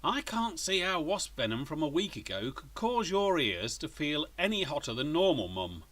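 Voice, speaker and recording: A man's voice: fairly high pitch, sing-song, normal speed, fairly bright tone, voice pushed up. A man voicing an earnest, inquisitive schoolboy inventor who reasons things out in a children's story. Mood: puzzled.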